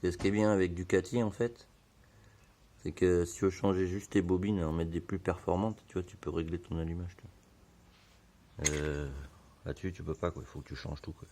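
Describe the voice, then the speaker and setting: Low hoarse man explaining casually. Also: fairly quick, slight background noise. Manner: relaxed, slangy, many fillers. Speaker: motorbike hobbyist.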